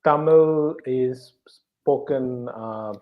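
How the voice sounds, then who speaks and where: A man with a Bengali Indian accent talks casually as an interview guest. He is a native Bengali speaker, and he feels his way through answers, pausing and repeating words. His voice sits at a medium pitch. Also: narrow, tinny audio. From West Bengal, eastern India. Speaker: podcast guest, bank professional. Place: at home.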